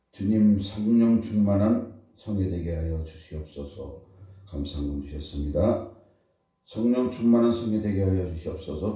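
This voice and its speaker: A man's voice: rumbling low, dark tone. A male pastor reading out offerings and praying blessings at a revival meeting. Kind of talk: blessing prayer over offerings. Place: large reverberant indoor church hall. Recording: very echoey church hall from far off.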